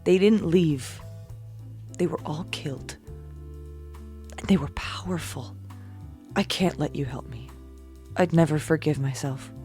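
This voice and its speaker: A low female voice from an actress as a weary vigilante superhero. She speaks slowly and guardedly, with reserve, as in an audio drama. Music plays underneath. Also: mellow. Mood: firm, sorrowful.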